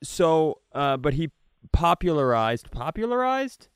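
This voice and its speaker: A man co-hosting a comedy podcast, rambling deadpan through offbeat questions in casual chat. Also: a little high, a little slow, clear, resonant tone, dry recording, studio quality. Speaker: podcast co-host.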